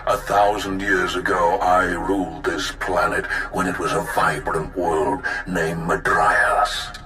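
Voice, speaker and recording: Casting: imprisoned ancient Sith spirit, very low and measured, imperious, bitter, grandiose game performance. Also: male voice, level tone, a little hiss or hum, exaggerated voice. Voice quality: deep, forceful. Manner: grandiose, slow, deliberate. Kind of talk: dramatic video game acting. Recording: echoing, with music underneath.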